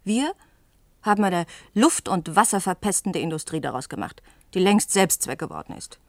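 A woman's voice: lowish voice, very animated, moderate pace, no echo. An actress playing a passionate, outspoken woman journalist in a German science-fiction radio play, speaking earnestly and insistently. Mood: urgent, accusing.

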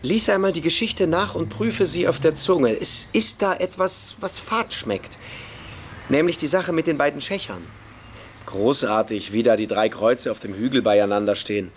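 A man's voice: a little high, normal speed, a little hiss or hum, lightly acted. A man playing a precocious schoolboy freethinker in a German radio play, emphatic, scornful and persuasive. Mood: disdainful. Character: precocious, provocative schoolboy freethinker lecturing his younger friend.

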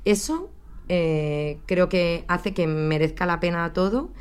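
A woman primary school teacher speaking in a heartfelt, measured way in a recorded testimony. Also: on the low side, expressive, clean tone.

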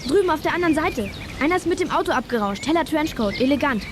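A woman's voice: sits high, expressive, fast talker, background noise. A female performer playing an eager, alert girl detective in a children's radio play, with a breezy delivery.

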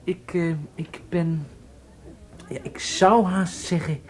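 An actor playing a genial, probing German horse-racing enthusiast in a Dutch radio drama, affable and a little hesitant. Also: male voice, high voice, steady tone, slow pace. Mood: jovial, confessing.

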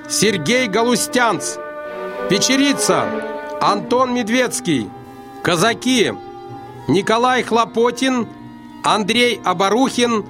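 Male announcer, scripted credits reading, animated intonation, unhurried pace, over music. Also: high-pitched. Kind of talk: scripted radio drama credits. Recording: music behind the voice.

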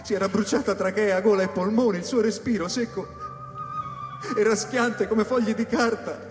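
An actor playing a haunted, lovestruck scientist who narrates a radio drama with intense, broken phrasing. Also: male voice, high voice, fairly even intonation, moderate pace, close to an everyday voice. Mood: horrified, urgent. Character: haunted male scientist narrating, tormented and in love. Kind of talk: theatrical radio drama, first-person narration and dialogue. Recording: roomy, long hall reverb under music. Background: music.

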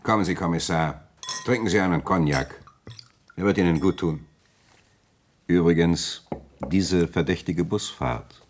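A suave provincial notary talks in a low register. He is articulate and self-assured, with an urbane, slightly condescending manner in radio drama style. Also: measured pace, long pauses, slight background noise. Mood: smooth, explaining. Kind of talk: German radio play acting.

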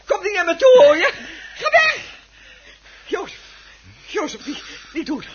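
An alarmed wife in a radio play, urgent and shrill. Her high voice swings sharply in short commands. The recording is muffled, with noise behind it. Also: sing-song, measured pace, full character voice. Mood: terrified pleading. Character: frightened wife pleading with her threatening husband. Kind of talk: radio drama acting. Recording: dull, noisy old radio recording.

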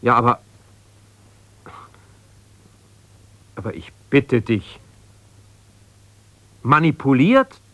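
An actor playing an aggrieved, self-justifying middle-aged husband in a naturalistic radio drama, halting and reproachful as he argues. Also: mid-range voice, sing-song, fairly bright tone, no echo, muffled recording. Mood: aggrieved, agitated. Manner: halting, argumentative, reproachful, breaking off mid-sentence. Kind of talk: naturalistic 1970s German radio drama, one-sided conversation.

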